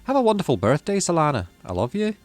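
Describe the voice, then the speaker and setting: Man playing a gentle, affectionate dad. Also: fairly high pitch, huge pitch swings, quick pace, faint music behind, pitched above the performer's own voice. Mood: reassuring, fond. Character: kind, reassuring father of a colony child. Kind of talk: visual novel character voice.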